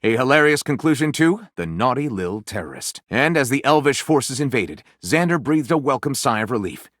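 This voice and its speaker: A man voicing a flamboyant, theatrical storyteller for a video game, moving between grandiose narration and gushing excitement. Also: mid-range voice, moderate pace, no echo, studio quality. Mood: grandiose, dramatic. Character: flamboyant, theatrical storyteller co-writing lurid pulp novels.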